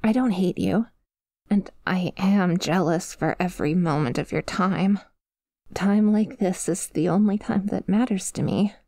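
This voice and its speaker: A woman playing a possessive but vulnerable female sleep paralysis demon in an ASMR roleplay, speaking softly and hesitantly, close to the mic. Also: lowish voice, no room sound. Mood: shy, hopeful. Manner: hesitant, halting, tentative, confiding. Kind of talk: ASMR roleplay, intimate monologue addressed to a sleeper. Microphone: close microphone, dry.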